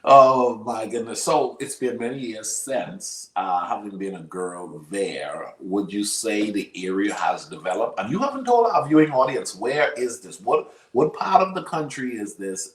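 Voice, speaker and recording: Deep-voiced Bahamian man in an echoey room. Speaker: interview show host. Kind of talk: conversational interviewing. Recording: roomy, echoey sound.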